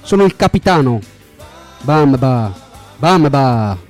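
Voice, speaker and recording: A man playfully reciting translated song lyrics, deliberately repeating short phrases. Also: medium pitch, animated, a little mellow, faint music behind.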